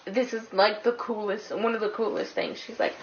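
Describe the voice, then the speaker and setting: A woman's voice: mid-range voice, steady tone, moderate pace. A young woman chatting casually and informally as a guest co-reviewer, with some swearing, on a lo-fi recording with faint noise. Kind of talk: casual chat, recapping a movie. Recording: dull lo-fi audio with faint noise.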